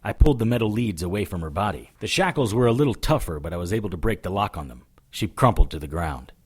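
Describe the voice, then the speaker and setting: Low, animated hard-boiled witch hunter. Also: fast talker, slightly rough. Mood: tense, narrating. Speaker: lead character and narrator. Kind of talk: first-person audio drama narration.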